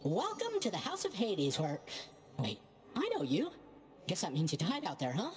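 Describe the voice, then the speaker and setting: A woman's voice: on the low side, huge pitch swings, moderate pace, a little mellow. A woman voicing a cheerful, scatterbrained young underworld greeter in a video game, breezy and distracted. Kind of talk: video game character dialogue.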